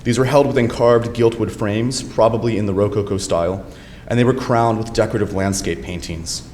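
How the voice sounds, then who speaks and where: Young guy giving an academic talk, lowish voice, relaxed with some uhs, roomy hall sound. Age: young adult. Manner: friendly, measured, with fillers. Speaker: lecturer, doctoral candidate. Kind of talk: academic lecture. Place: lecture hall. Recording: lecture hall reverb, faint noise.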